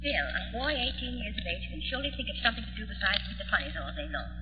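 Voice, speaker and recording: A fed-up, scolding housewife in a vintage radio play. The voice is high and swooping, nagging at the family. The sound is dull and reverberant. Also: female voice, sing-song, racing. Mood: exasperated. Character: nagging, exasperated middle-aged housewife and mother. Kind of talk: 1940s radio drama acting. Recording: dull, echoing, hissy old radio recording.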